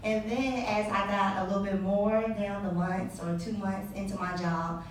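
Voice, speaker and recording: A young adult woman telling a personal story live on stage, animated and sassy with laughing asides. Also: medium pitch, normal speed, slight background noise. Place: live event venue. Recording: echoey hall, distant mic.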